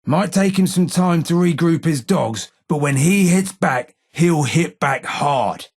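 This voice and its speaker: A weary old London underworld informer for a video game. The voice is rough with fry and rasp, carrying a London accent. The delivery is measured and wry, full of street slang and old guilt. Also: male voice, a little high, lively intonation, exaggerated voice. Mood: grave. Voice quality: husky, gravelly. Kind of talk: video game character dialogue.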